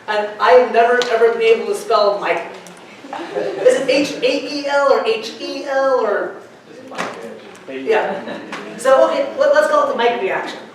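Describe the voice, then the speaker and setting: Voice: medium pitch, moderate pace, pauses often, background noise. A university chemistry lecturer giving a classroom lecture, chatty and prone to joking asides. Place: large lecture hall. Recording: echoey lecture hall from far off.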